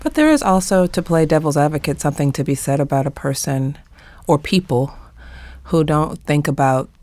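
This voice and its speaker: A woman speaking as a panelist in an earnest, reflective discussion, emphatic and deliberate, repeating phrases for weight. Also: low-pitched, expressive, mellow, no echo.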